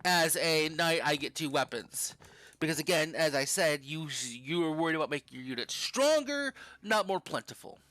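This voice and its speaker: Bright-voiced young man rambling over gameplay. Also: fairly high pitch, lively intonation, normal speed, no room sound. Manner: rambling, offhand, swears casually. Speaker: gaming channel host. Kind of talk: casual gameplay commentary.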